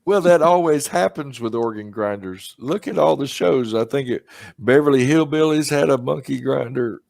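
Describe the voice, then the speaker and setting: A man's voice: medium pitch, normal speed, vocal fry, darkish tone, no echo. Chuckling middle-aged man, dry sound. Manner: chatty, laughs often at his own stories. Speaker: podcast host. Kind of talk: casual chat.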